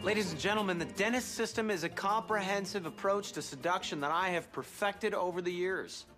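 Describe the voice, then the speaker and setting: An actor playing a smug, vain playboy who lectures methodically and pompously, as in a sitcom presentation. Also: male voice, high voice, a little fast, music in the background, close to an everyday voice. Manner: pompous, methodical, self-satisfied.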